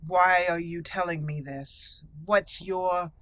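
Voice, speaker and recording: A woman role-playing a proud, dutiful young noblewoman raised among dwarves, speaking formally and deliberately as game dialogue. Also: fairly low pitch, steady tone, a bit bright, dry recording. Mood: guarded, earnest.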